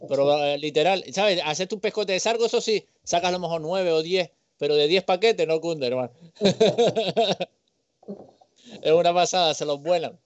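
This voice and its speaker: Male co-host, slangy and relaxed chat; smooth voice, dry lo-fi line. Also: a little high, normal speed, dry recording. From Canary Islands. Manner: friendly, slangy, says "tío" and "hermano". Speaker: fishing livestream co-host. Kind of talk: casual chat on a video call. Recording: dry, dull call audio.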